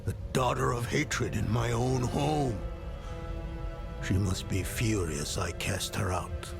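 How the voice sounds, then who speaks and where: An actor playing a world-weary older nobleman and former demon slayer in a fantasy game, grave and measured. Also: on the low side, creaky, over music. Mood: grave, thoughtful. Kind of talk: dramatic fantasy game acting.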